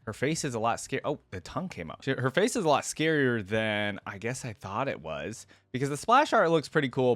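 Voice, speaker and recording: A man's voice: fairly low pitch, fairly bright tone, no echo. A man hosting a reaction video, giving casual, informal commentary and speaking directly to his viewers. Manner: informal, filler-laden, addresses viewers directly.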